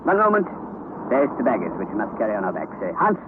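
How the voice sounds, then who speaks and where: An actor playing a commanding professor uncle leading an expedition, in a 1930s radio drama, on a tinny old recording. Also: male voice, lowish voice, huge pitch swings, fast talker, background noise. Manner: commanding, gives orders.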